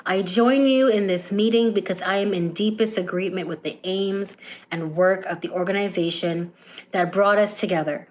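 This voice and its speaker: A young adult woman giving a formal dramatic reading of a historic speech as a student performer. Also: mid-range voice.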